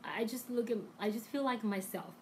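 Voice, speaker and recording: A middle-aged woman with a Chinese accent, co-hosting a casual lesson stream in halting, encouraging English as a second language. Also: fairly high pitch, a little hiss or hum. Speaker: co-host and language teacher. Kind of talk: casual live chat.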